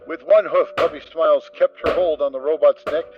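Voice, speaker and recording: A man narrating a dramatic reading of a story, steady storytelling with occasional stumbles. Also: a little high, fairly quick. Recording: dull lo-fi amateur mic with soft music.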